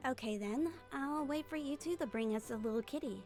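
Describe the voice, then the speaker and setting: A woman voicing a composed, caring young female magician's assistant in a fan-dubbed game, measured and understated. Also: high-pitched, darkish tone, soft background music. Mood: matter-of-fact.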